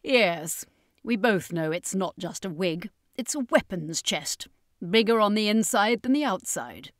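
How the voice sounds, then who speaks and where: A woman's voice: medium pitch, expressive, a little slow, no room sound, clean studio sound, natural voice. A woman playing a commanding circus master. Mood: inspired. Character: commanding male circus master, resolute vampire hunter.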